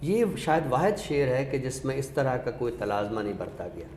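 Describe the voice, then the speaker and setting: A man with a Pakistani accent, giving a literary talk with recited verse in a measured, explanatory way with pauses. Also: medium pitch, big pitch swings, a little mellow. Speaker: host of an Urdu literature show. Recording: echoey reverberant room, faint noise.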